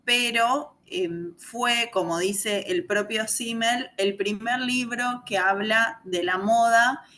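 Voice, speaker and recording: A woman's voice: on the high side, slow, pushed and bright, a little hiss or hum. An Argentine woman moderating a book discussion on a video call, talking thoughtfully with many fillers. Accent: Argentine Spanish. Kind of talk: slow, thoughtful literary talk.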